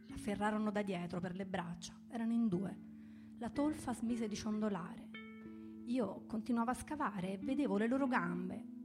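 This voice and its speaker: A woman reads a literary first-person memory aloud as scripted narration. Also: medium pitch. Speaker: reader of a written memoir. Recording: guitar music playing behind the voice.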